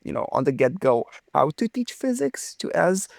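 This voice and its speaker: A man's voice: on the high side, very animated, moderate pace, creaky, a little mellow, no echo, clean studio sound. A young man from Romania, a physicist guest, telling his story in a casual interview with enthusiastic, theatrical phrasing.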